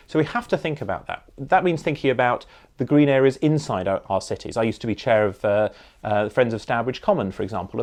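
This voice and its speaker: A man's voice: mid-range voice, a little mellow. A man answering as a parliamentary candidate, leaning on key phrases for emphasis. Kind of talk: hustings answer, political speech.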